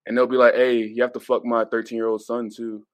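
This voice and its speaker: A man's voice: medium pitch, flat delivery, brisk, dry recording. Slangy, insistent man chatting casually. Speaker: podcast panelist. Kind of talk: casual chat. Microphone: remote call mic.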